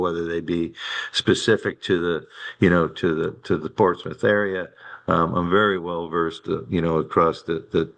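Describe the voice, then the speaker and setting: A man with a very deep voice, a network representative presenting to a city commission, halting and full of fillers and restarts. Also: a bit bright. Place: public meeting room.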